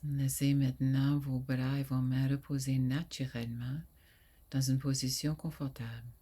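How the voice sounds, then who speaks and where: A woman guiding a sleep meditation in a soft, soothing voice that often drops to a breathy near whisper, with long pauses. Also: extremely low pitch, flat delivery. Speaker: yoga nidra guide.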